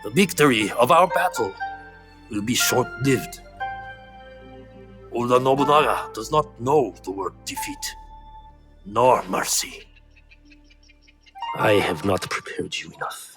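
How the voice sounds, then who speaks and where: An actor playing a grave, gentle middle-aged father and martial mentor in a video game, measured and instructive. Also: mid-range voice. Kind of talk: dramatic video game cutscene acting.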